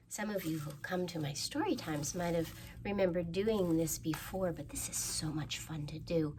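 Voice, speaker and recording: A woman's voice: lowish voice, background noise. A woman hosting a children's storytime, leading rhymes and crafts in a playful, encouraging manner and inviting young listeners to join in.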